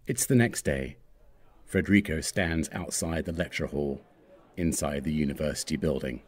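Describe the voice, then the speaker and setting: A man's voice: rumbling low, a little mellow, husky. A man reading screenplay stage directions as scripted narration.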